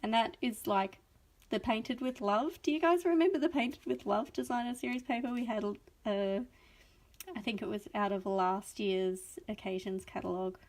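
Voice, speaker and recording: Bubbly higher-voiced Australian woman host. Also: moderate pace. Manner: bubbly, gushing and enthusiastic. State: sniffly with a cold. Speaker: craft video host. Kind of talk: chatty live unboxing.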